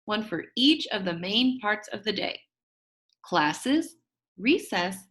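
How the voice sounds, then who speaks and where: A brisk, good-humoured substitute teacher as a woman reads him aloud addresses his class from a children's storybook. He is instructive and a little jokey, getting the pupils down to business. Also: mid-range voice, lively intonation, a bit bright.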